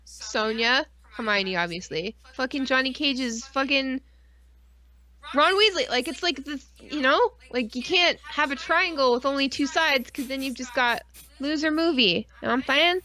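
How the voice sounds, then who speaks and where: A woman's voice: sits high, moderate pace, bright, forceful tone. A woman co-hosting a casual podcast stream, ranting about films with sarcastic mock outrage and plenty of swearing. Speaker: podcast co-host. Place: live online stream.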